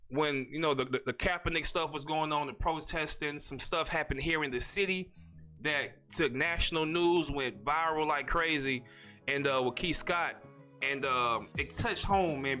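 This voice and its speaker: Man, stutter, bright tone, faint music behind. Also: fairly high pitch. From North Carolina, USA. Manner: rambling, slangy, fast talker with a stutter. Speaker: podcast host. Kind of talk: casual solo podcast monologue.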